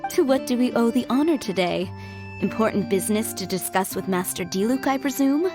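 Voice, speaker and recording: A woman's voice: fairly high pitch, expressive, brisk, close to an everyday voice. A woman playing a polite, composed head maid of an estate in a video game, speaking formally and attentively. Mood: polite greeting. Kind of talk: video game character acting. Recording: loud music behind the voice.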